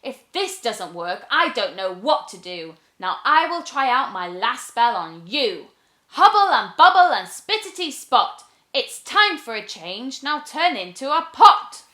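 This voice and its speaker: A woman's voice: on the high side, sing-song, unhurried, bright, forceful tone, roomy sound, voice pushed up, exaggerated voice. A woman voicing a hot-tempered, mischievous young witch in a storybook, exclaiming and chanting rhymes dramatically. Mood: furious.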